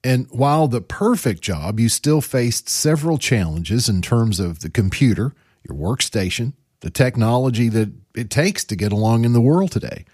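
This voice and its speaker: A man hosting a podcast, introducing a guest and asking smooth, prepared questions. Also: medium pitch, lively intonation, normal speed, darkish tone, no echo, studio quality. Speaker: podcast host. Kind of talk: podcast interview.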